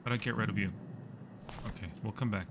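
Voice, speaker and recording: A man's voice: lowish voice, fairly even intonation, quick pace, noisy background. A man casually commentating a horror game as a live streamer, joking and muttering to himself in a playful way.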